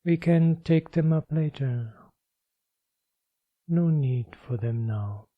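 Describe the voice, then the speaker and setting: A man leading a guided meditation as a teacher, speaking calmly and in measured short phrases with long pauses. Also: on the high side, no room sound, narrow, tinny audio.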